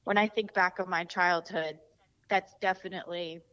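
A woman's voice: lowish voice, fairly even intonation, normal speed, a bit bright. Jersey woman guest, rambly chatty, lots of ums, kinda dull lo-fi sound. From New Jersey. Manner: chatty, many fillers and restarts. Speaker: guest, founder of a design studio. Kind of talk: casual interview chat.

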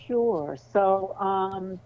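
A middle-aged woman from New City, a lawyer chatting casually as an interview guest, relaxed with many ums and laughs. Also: medium pitch, very slow, highs cut off. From New York City.

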